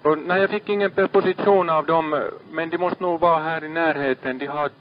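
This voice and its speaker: A man working as a ship's radio operator, calm and businesslike, repeating figures back in radio procedure. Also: a little high, a little hiss or hum. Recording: muffled archive marine radio.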